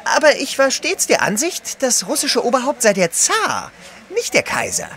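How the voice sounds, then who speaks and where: Ein Schauspieler spielt in einem Hörspiel einen eifrigen, förmlich-höflichen Diener eines Gentlemans. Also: male voice, very high voice, huge pitch swings, normal speed, heavily acted. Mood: polite surprise. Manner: courteous, formal. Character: eager, deferential French manservant of a gentleman. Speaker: actor in an audio drama. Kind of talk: German audio drama acting.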